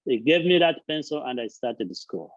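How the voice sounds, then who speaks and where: A man's voice: mid-range voice, big pitch swings, moderate pace, no echo, highs cut off. A man hosting his channel, giving an earnest motivational talk, stressing points by listing and repeating words. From Uganda. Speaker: charity founder and channel host. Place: friend's house, indoors.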